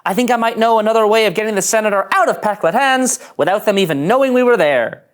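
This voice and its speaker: A woman playing a jittery, eager young starship ensign, anxious and excitable, in a sci-fi table read. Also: medium pitch, fast, lightly acted.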